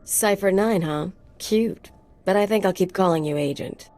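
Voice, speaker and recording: An actress playing an irreverent mercenary sidekick in a video game, with a sardonic, mocking delivery. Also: female voice, medium pitch, moderate pace, darkish tone, lightly acted. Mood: mocking, impatient.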